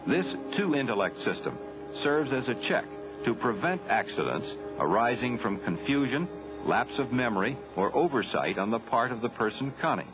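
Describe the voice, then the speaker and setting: A man's voice: medium pitch, big pitch swings, over music. Dull-sounding 1970s film narrator, American man. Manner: formal, grave, measured delivery. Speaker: documentary narrator. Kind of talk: scripted narration of a 1970s military training film.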